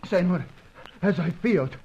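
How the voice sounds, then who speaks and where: An actor playing a fearful, superstitious man in an old-time radio serial, excitable and portentous. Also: sits high, a little slow, vocal fry, husky, muffled recording, heavily acted. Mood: fearful, awed. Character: fearful, superstitious South American local man.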